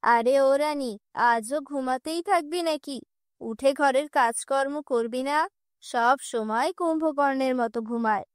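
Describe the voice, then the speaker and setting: A woman's voice: high voice, unhurried, no echo, clean studio sound. A woman voicing a cruel middle-aged stepmother in a cartoon fairy tale, harsh and scolding.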